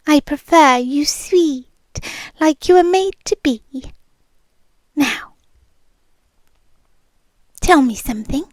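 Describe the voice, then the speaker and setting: A woman's voice: high voice, dry recording. A woman playing a whispering possessive British captor. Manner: soft whispered, slow, purring menace. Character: possessive obsessive rich young woman captor. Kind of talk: ASMR roleplay.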